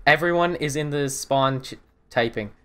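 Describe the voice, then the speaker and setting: A man's voice: fairly high pitch, moderate pace, fairly bright tone, clean tone. A man giving slangy, reactive casual commentary while he plays a game.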